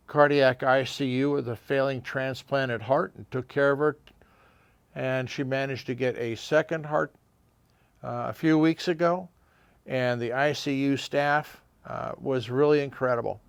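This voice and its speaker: An older American man telling anecdotes informally to camera, reflective and halting, often pausing mid-sentence. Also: mid-range voice, smooth, no echo. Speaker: audio shop owner and video host.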